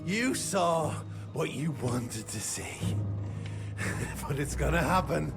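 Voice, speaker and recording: A man playing a slippery, nervous gentleman vampire informant in a video game, with a creaky, theatrical voice and a halting delivery. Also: on the high side, animated, over music, full character voice. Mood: agitated warning. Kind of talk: video game cutscene acting.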